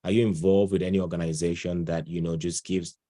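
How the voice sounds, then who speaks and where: A man's voice: low-pitched, level tone, fairly quick, dark tone. A man moderating an online session in an informal, conversational and chatty way, full of thanks and encouragement. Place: online video call.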